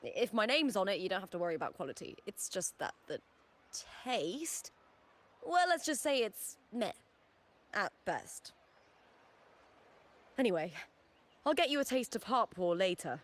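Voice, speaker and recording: An actress playing an upbeat, fiery young female arena fighter in a video game, chatty and animated. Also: mid-range voice, unhurried, pauses often. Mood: playful, sheepish. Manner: animated, breezy, casually chatty. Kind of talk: video game character acting.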